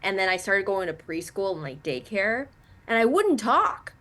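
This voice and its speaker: A young woman from Canada, a native Mandarin speaker, chatting as an interview guest in an animated way with many fillers. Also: mid-range voice, moderate pace. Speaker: guest, actress and comedian. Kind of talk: casual interview chat.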